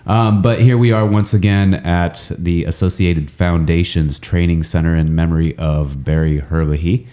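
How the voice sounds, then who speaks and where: Podcast host, male, very low and dark, congested from a cold, rambling and self-mocking. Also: fairly even intonation, moderate pace. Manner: rambling, self-mocking, many ums and uhs. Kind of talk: casual podcast chat. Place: indoor training center room, several people around a table.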